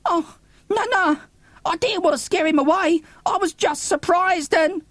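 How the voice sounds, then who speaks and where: A man's voice: extremely high pitch, a little slow, fairly bright tone, dry recording, pitched above the performer's own voice. A man voicing an anguished, pleading young boy in a dramatised children's audiobook. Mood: bewildered, upset. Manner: breathless, pleading, childlike exclamations. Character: anguished, pleading young boy, Milo, abandoned by his dad.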